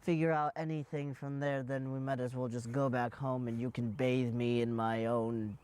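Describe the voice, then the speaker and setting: A woman playing a haughty, demanding British gentleman in improvised comedy, pompous and carefully enunciated. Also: extremely low pitch, level tone, normal speed, smooth, pitched below the performer's own voice. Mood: pompous, uneasy. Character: haughty, demanding, helpless British gentleman employer, easily outraged. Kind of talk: improvised comedy role-play.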